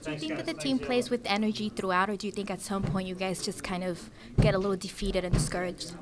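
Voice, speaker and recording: A woman reporter asking a player questions after a match, rephrasing when he doesn't follow. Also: medium pitch. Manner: rapid, repeats and rephrases the question. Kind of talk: interview questions. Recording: noisy crowded press area. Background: crowd chatter.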